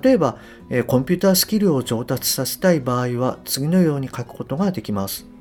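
A man's voice: medium pitch, lively intonation, fast, a little mellow, soft background music. A man with a Japanese accent, a native Japanese speaker, presenting a podcast lesson as a polite, instructional coach and host. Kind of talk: podcast lesson, reading aloud and explaining.

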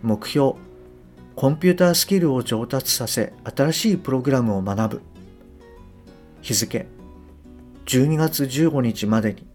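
A man's voice: mid-range voice, lively intonation, a little slow, pauses often, a little mellow, faint music behind. A man with a Japanese accent, a native Japanese speaker, presenting a podcast lesson as a polite, instructional coach and host. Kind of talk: podcast lesson, reading aloud and explaining.

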